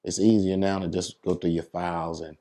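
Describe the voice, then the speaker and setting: A man with a low voice and an American accent chats casually in an interview. He explains his craft as a working DJ and often checks that the listener follows. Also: level tone, fairly quick, dark tone. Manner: explanatory, repeats "you know what I'm saying". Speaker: DJ and entertainer being interviewed.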